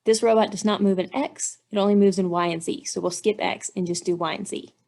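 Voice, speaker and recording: Woman teaching, creaky voice. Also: medium pitch, fairly even intonation, fairly quick, a little mellow. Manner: methodical, step-by-step explaining. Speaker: engineering instructor. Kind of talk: instructional walkthrough, thinking aloud while working out math.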